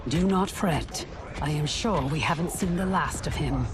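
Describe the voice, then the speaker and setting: An actress playing a composed, commanding resistance fighter with measured, formal speech in a video game cutscene. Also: female voice, low-pitched. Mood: defiant. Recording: loud music over the voice.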